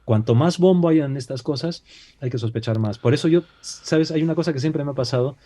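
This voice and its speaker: A man's voice: a little high, fairly quick, mellow. A man from Peru with a Peruvian Spanish accent, a talk-show guest speaking in a reflective way with many hesitations and rhetorical questions. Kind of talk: casual talk-show discussion.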